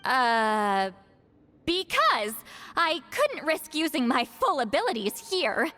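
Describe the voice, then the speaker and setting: A woman playing a proud, sassy young spirit seer in a game cutscene, brash, teasing and expressive. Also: high-pitched, takes it slowly, exaggerated voice. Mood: confident, explaining. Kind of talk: animated video game cutscene acting. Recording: long echoing reverb, music underneath.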